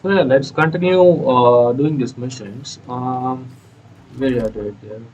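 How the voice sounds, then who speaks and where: A man's voice: medium pitch, darkish tone, dull audio. A man giving casual, hesitant commentary on a video game walkthrough. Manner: halting, hesitant delivery. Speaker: gameplay YouTuber.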